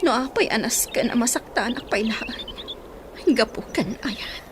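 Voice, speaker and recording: A woman's voice: fairly high pitch, a little slow, background noise, lightly acted. A woman acting a timid, heartbroken young villager in a radio drama, speaking in a tearful, hesitant way. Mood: sad, hurt.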